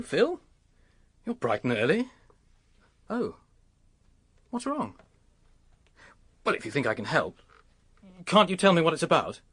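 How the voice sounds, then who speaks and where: Earnest, flustered English secretary, animated. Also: male voice, medium pitch, slightly rough. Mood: friendly, casual. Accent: British. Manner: polite, hesitant. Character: earnest, flustered young English male secretary, helpful and anxious. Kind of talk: radio drama acting.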